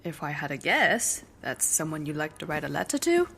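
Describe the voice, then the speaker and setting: An actress playing a bored, blunt teenage girl who answers with flat sarcasm. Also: deep voice, normal speed, creaky, slight background noise, heavily acted. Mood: deadpan. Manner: deadpan, sarcastic, dismissive.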